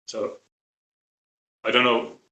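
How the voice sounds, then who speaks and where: Echoey, halting Finnish-accented man. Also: pushed and bright, a little hiss or hum. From Finland. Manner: halting, repetitive, blunt with swearing. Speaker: live-stream commentator. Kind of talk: casual opinionated live talk. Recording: roomy, echoey live-stream audio.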